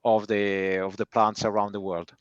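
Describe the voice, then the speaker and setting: A man with an Italian accent, answering interview questions slowly with many hesitant fillers. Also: lowish voice, little pitch movement, no room sound, dull audio. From Italy. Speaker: guest timber engineer.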